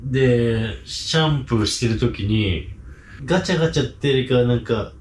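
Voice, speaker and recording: A man's voice: medium pitch, normal speed, darkish tone. A man chatting casually and a little uneasily while filming himself on location in a hotel room. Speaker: paranormal video show member. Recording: echoey room, some background noise.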